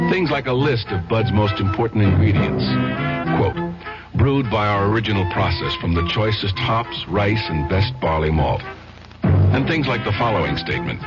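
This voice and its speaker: A man reading a scripted 1970s radio advertisement with a polished, emphatic sales delivery. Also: fairly low pitch. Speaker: commercial announcer. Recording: dull old radio broadcast. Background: loud music.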